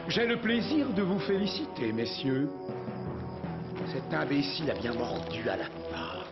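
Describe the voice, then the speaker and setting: A smug, ruthless cartoon villain who gives imperious orders. His pitch swoops up and down pompously, in muffled audio over loud music. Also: very animated, measured pace. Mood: smugly triumphant. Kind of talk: French cartoon dubbing.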